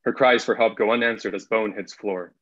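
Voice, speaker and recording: A man's voice: lowish voice, fairly even intonation, fairly quick, fairly bright tone. A young man speaking as the narrator of a table read over a video call. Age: young adult. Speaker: narrator and actor. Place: plain room at home. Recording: dull video-call audio.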